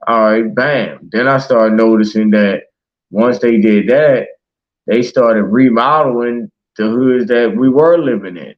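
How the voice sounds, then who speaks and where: A man's voice: mid-range voice, measured pace, lo-fi sound. A man in casual, passionate conversation as a talk show host, speaking emphatically with slang and swearing. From Baltimore, United States.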